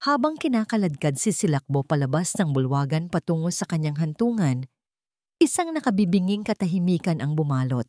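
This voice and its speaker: Female story narrator, slightly low register, solemn and deliberate, dramatic scripted read, dry studio-like sound. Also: very animated, darkish tone, dry recording.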